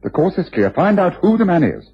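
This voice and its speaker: A muffled, rough old radio drama recording of a shrewd English gentleman detective. His upper-class delivery is precise, decisive and highly animated, with theatrical self-assurance. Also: brisk, heavily acted.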